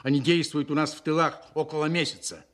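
A man's voice: on the high side, steady tone, fast talker, fairly bright tone, close to an everyday voice. A man acting a stern, impatient Soviet army general in a Russian radio play, speaking in a curt, commanding way. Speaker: actor in an audio drama.

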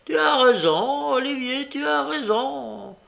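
A man's voice: very high-pitched, slow, gravelly, sharp tone, voice pushed up, exaggerated voice. A man voicing a sly, mocking old gang master in an audiobook story, wheedling and menacing by turns. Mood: sly glee.

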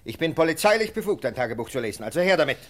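An actor playing a sardonic, self-assured police lieutenant with a sneering, authoritative manner in a German radio play. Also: male voice, a little high, very animated, racing, fairly bright tone. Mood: menacing, sneering. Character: scornful, sardonic police lieutenant, sure of himself.

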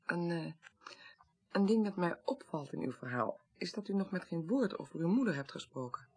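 Husky, lively interviewer. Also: female voice, lowish voice, normal speed. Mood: attentive. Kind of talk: Dutch radio crime drama acting.

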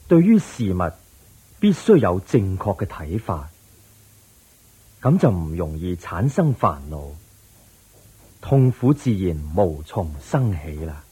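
Wise calm buddha in a hong kong cantonese radio play, dark husky voice, really slow solemn teaching. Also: male voice, sits low, exaggerated voice, poor audio. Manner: solemn, deliberate, drawn-out phrasing. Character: serene, wise Buddha patiently teaching his disciples. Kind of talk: Cantonese radio drama, preaching.

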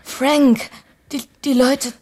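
An actress playing a bitter, passionate, scheming young wife in a naturalistic radio drama, speaking with urgent intimacy and slipping into whispers. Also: female voice, high voice, steady tone, drawn-out words, background noise. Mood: panicked, resisting.

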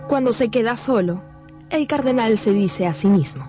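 Radio drama narrator: female, higher-pitched, scripted storytelling delivery over prominent music. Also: animated, moderate pace.

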